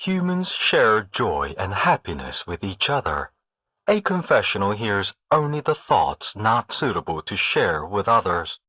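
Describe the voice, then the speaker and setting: A man's voice: fairly low pitch, sing-song, measured pace, sharp tone, slightly rough, dry recording, exaggerated voice. A man voicing a polite, earnest talking machine in a game, with slightly muffled, band-limited sound. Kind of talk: game character voice acting.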